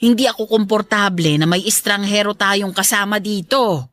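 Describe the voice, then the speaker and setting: A woman's voice: medium pitch, moderate pace, a bit bright, natural voice. A woman playing a protective, frugal, aging mother in a radio drama, chatty and affectionately stubborn. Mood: stubborn, wary. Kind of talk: Filipino radio drama acting.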